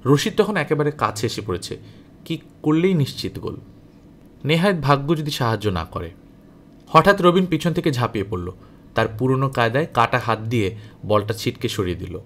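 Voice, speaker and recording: A man reading a novel aloud as an audiobook narrator, in an expressive, dramatic storytelling style. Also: mid-range voice, moderate pace.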